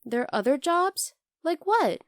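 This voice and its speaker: A very high, girlish bunny girl, played by a woman, recorded close and dry for an ASMR roleplay. She is teasing and outdoorsy, curious about humans, and blunt in a casual, playful way. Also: sing-song, unhurried, dry recording, full character voice. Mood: stubborn, playful. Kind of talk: ASMR roleplay addressed to the listener.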